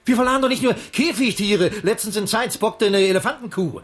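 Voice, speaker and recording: An actor playing a harassed, gruffly good-natured circus director in his forties, in a 1980s German radio drama. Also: high-pitched, brisk, a bit bright. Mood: insistent. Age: 40s. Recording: radio play, faint music behind.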